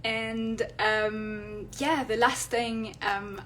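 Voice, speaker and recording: A woman's voice: fairly high pitch, steady tone, extremely slow, a bit bright, a little hiss or hum. Hesitant woman with a French accent. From France. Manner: hesitant, many fillers and restarts. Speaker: illustrator and vlogger. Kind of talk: live video chat. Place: indoors at home, near a street.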